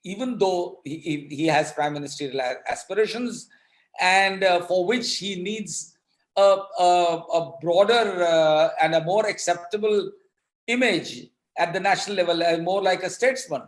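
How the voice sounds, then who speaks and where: A man with an Indian accent giving political commentary in an interview, halting but emphatic, often repeating his words. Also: high voice. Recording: echoing, roomy sound.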